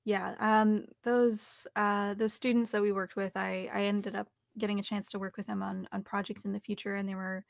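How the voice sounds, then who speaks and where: A woman's voice: medium pitch, level tone, normal speed, gravelly, hardly any pauses, no echo, studio quality. A young adult woman, chatting casually as an interview guest. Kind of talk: casual chat.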